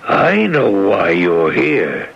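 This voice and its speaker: A man acting in a radio drama as a desperate, broken-down corporate boss, pleading and halting. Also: on the low side, wildly swooping pitch, gravelly, a bit bright, breathy edge, exaggerated voice. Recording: dull lo-fi radio recording.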